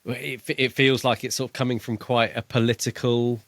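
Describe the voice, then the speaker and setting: A man co-hosting a casual book discussion podcast, thinking aloud in a tentative, halting way. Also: mid-range voice, flat delivery, fairly bright tone, no echo. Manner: tentative, musing, halting delivery. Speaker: podcast co-host.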